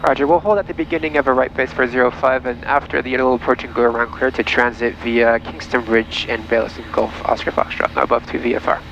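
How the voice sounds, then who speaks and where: A man's voice: medium pitch, fairly even intonation, quick pace, creaky, few pauses, fairly bright tone. A man piloting a light aircraft, reading back instructions over the aviation radio from the cockpit. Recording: muffled cockpit radio audio, faint engine noise.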